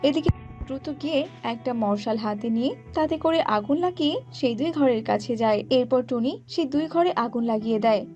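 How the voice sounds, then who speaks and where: A woman's voice: high-pitched, fairly quick, mellow. A woman narrator reading a scripted storybook tale for a cartoon. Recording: muffled audio with background music.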